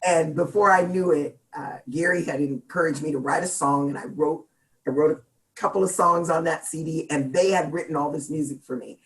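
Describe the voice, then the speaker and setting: A middle-aged woman from Seattle with a deep, gravelly voice, chatting as a singer in an interview and laughing often. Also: normal speed, low-quality recording. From Seattle, United States. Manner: chatty, laughs often, jokes about herself. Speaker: guest singer. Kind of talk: casual interview chat.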